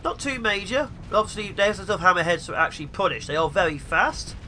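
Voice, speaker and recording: A young man with the flu, sounding sniffly, giving excitable let's play commentary. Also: high voice, brisk, a little hiss or hum. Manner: excitable, mutters at enemy ships. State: sniffly with flu, sore throat. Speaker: gaming YouTuber.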